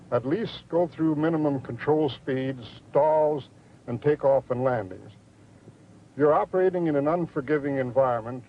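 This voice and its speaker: Thin, phone-like old film audio carries a medium-pitched male voice. He gives formal aviation advice in a firm, earnest way. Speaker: aviation advisor.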